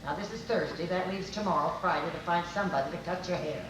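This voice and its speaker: A woman's voice: lowish voice, fast talker, lightly acted. An older woman playing a practical, nagging but solicitous housewife in a live old-time radio comedy sketch. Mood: impatient, practical. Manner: solicitous, nagging, repeating herself. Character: fussing, practical housewife coaxing her husband. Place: convention stage before a live audience. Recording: echoey hall, distant mics, noisy.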